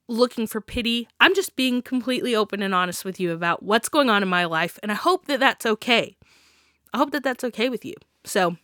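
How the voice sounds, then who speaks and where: A woman's voice: mid-range voice, sharp tone, no echo, studio quality. A young woman hosting her own podcast, stuffy from a sinus infection, chatting casually with frequent laughs and ums. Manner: chatty, giggly, many ums. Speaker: podcast host. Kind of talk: casual solo podcast chat.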